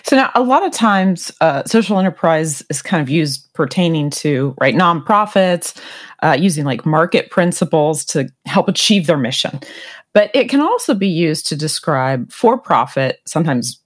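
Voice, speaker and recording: Deep-voiced woman co-host. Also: big pitch swings, normal speed. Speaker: podcast co-host. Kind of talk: conversational interview questions.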